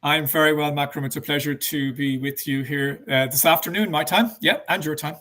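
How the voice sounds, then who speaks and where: Older man, Irish accent, slightly high voice, hesitant meandering delivery, casual interview guest. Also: steady tone, a little fast, sharp tone. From Ireland. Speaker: interview guest, banking executive.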